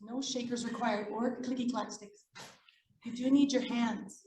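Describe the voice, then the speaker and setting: A woman's voice: a little high, fairly even intonation, unhurried, darkish tone, a little hiss or hum. A woman hosting a live children's storytime and sing-along, chatty and playful as she gives the audience instructions. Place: echoey indoor room at a library event. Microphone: event microphone. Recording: echoey room, music behind.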